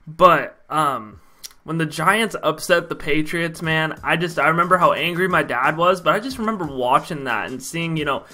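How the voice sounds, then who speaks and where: A young American man with a fairly high, bright voice talks casually to his viewers. He is a chatty storyteller who addresses them like a showman, recorded at home in a small room. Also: moderate pace. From Idaho, United States. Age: young adult. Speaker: vlogger telling personal stories.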